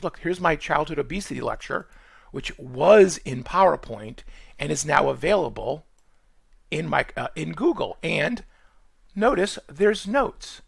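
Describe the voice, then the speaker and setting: A man from Boston with a Boston accent, giving a college course orientation in quick, rambling speech full of fillers and restarts. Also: on the high side, gravelly, fairly bright tone, husky, dry recording. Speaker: college nutrition instructor. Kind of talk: screen-recorded course orientation.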